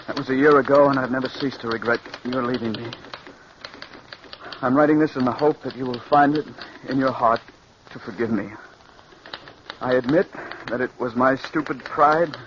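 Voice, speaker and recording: A bitter, obsessive man played by an actor in a 1940s radio thriller. His voice sits at a medium pitch and is deliberate and dramatic. The recording is muffled, with a noisy hiss. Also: natural voice. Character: bitter, gloating radio writer poisoner, later broken-minded.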